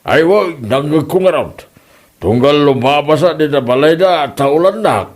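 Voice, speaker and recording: A man's voice: on the high side, expressive, measured pace. A man with an Ilocano accent playing a weary husband of a complaining woman in an amateur comedy drama. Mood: weary, exasperated.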